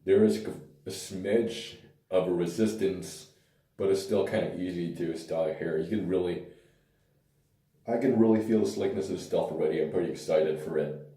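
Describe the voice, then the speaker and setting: A man with a hoarse, tired voice talks through a hair product review in a loose, rambling way. His voice sits very low and sounds dark and mellow. He is recorded from some distance in an echoey room. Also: normal speed. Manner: relaxed, rambling, hesitant fillers. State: hoarse, lost voice. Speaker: pomade reviewer.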